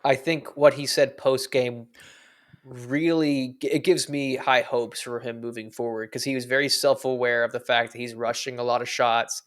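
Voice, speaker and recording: A man co-hosting a sports podcast, giving measured, analytical takes with the odd dry joke. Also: mid-range voice, steady tone, fairly bright tone. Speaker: podcast co-host. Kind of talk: casual sports podcast chat.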